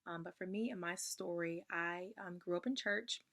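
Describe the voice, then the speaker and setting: A young woman getting over a cold, her voice slightly raspy, sharing her personal testimony in a soft-spoken way with frequent ums. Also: fairly low pitch, normal speed. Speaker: ministry member sharing testimony.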